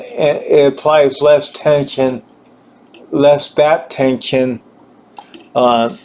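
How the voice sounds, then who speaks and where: A man giving an informal hands-on equipment demonstration, halting and repeating himself as he searches for words. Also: mid-range voice, dark tone, a little hiss or hum. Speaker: gear demonstrator.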